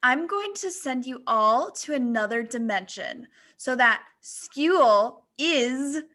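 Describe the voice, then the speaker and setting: A man's voice: very high-pitched, animated, slow, pushed and bright, voice pushed up, full character voice. A man voicing a girly, haughty, scornful witch in a comic fan-fiction reading.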